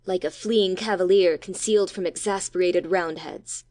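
A woman's voice: fairly low pitch, steady tone, normal speed, darkish tone, close to an everyday voice. A woman playing a bold, plain-spoken English schoolboy with a firm, boyish manner in a storybook dramatization. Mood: eager. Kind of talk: dramatized children's storybook reading.

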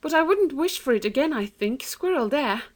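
A fairly high, sensible girl's voice, performed by a woman. She is the earnest, brisk and bossy elder sister of a children's storybook. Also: expressive. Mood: thoughtful.

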